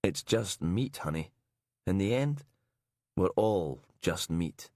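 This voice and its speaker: A man voicing a dead husband's apparition in an audiobook, wry, laid-back and coaxing, with dry, low-key delivery. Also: expressive, slow, darkish tone, dry recording, exaggerated voice.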